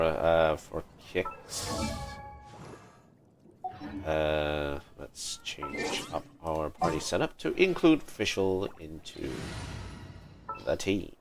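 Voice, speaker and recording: A man doing casual live game commentary, reacting and muttering to himself as he plays. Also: rumbling low. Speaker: game livestreamer. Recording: game music in background.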